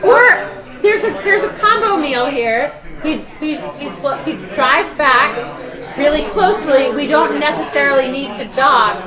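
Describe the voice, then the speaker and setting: Female tabletop player, high voice, improvised live role-play, echoey hall with heavy crowd noise. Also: unhurried. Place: large hall at a gaming convention, live.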